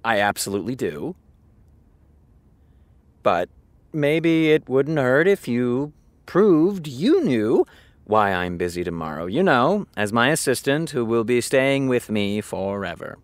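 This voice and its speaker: An actor playing a sardonic, defensive television writer with a dry, theatrical way of talking, in naturalistic audio drama style. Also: male voice, medium pitch, sing-song, slow, no room sound. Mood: bluffing, indignant. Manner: dry, sarcastic, dramatic emphasis. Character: sardonic, defensive male TV showrunner, harried and theatrical.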